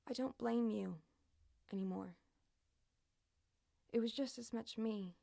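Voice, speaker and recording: A woman's voice: fairly high pitch, slow, vocal fry, warm tone. A woman reading aloud in a spoken-word poetry recital. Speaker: poetry reader.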